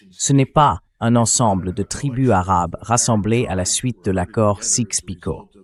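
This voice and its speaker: A man with a synthetic-sounding voice giving military and political commentary as a guest, in emphatic, short declarative sentences. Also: fairly low pitch. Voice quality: AI-generated translation voice.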